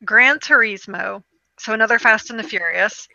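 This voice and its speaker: A woman's voice: on the high side, normal speed, creaky, pushed and bright, lo-fi sound. A woman co-hosting a video game show in casual chat, running through a list with wry, dismissive asides.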